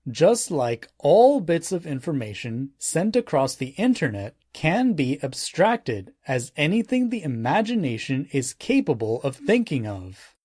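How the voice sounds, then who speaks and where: Guy reading a nonfiction audiobook, slow and deliberate, bit husky, lively pitch swings. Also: no room sound, clean studio sound. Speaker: audiobook narrator. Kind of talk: scripted nonfiction audiobook narration.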